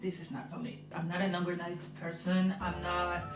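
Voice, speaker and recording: Young woman, French accent, hesitant casual vlog chat, lower voice, echoey room. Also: monotone, moderate pace. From France. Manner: hesitant, many fillers, soft laughs. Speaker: vlogger. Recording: roomy, mic at a distance. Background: music behind.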